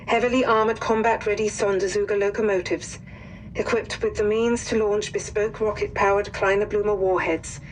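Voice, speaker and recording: A composed wartime intelligence officer, played by a woman, briefs in a mid-pitched female voice. Her phrasing is formal, clipped and grave, in the manner of a cinematic game cutscene. There is faint noise behind her. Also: natural voice, poor audio. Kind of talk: formal scripted mission briefing, video game.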